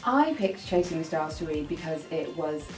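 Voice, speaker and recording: A woman's voice: low-pitched, expressive, normal speed, darkish tone, distant mic. A woman from East London speaking earnestly about her audition. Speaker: audition finalist. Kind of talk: casual interview. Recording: roomy, off-mic, faint music.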